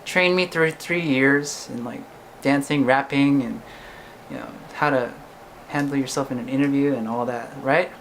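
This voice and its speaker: A man's voice: mid-range voice, moderate pace, a little hiss or hum, rough recording. A young man, a singer-songwriter whose first language is English, talking casually to camera and ending his points with "right?". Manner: chatty, ends points with "right?".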